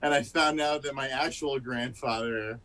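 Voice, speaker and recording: A man finishing a self-mocking stand-up set over a video call, in a wry, unhurried way. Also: mid-range voice, fairly even intonation, bright, forceful tone, rough recording. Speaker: stand-up comic.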